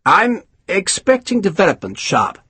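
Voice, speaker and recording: A man's voice: high voice, wildly swooping pitch, unhurried, bright, forceful tone, breathy edge, dry recording, pitched above the performer's own voice, full character voice. A man voicing a pompous, scheming British colonel who talks down to others in a haughty, snappish way, reading for an audiobook. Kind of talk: audiobook character voice.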